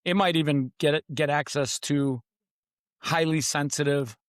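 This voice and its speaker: A man's voice: fairly high pitch, steady tone, measured pace, dry recording, studio quality. A man speaking as an interview guest, conversational but emphatic, in short punchy declarations with dramatic repetition. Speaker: podcast guest, author.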